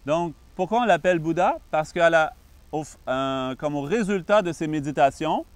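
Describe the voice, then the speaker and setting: Québécois monk guy explaining meditation slow and calm, smooth crisp voice. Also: fairly high pitch, no echo. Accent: Québécois French. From Canada. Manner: calm, patient explaining with frequent pauses. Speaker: Buddhist monk and meditation teacher. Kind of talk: introductory talk on meditation.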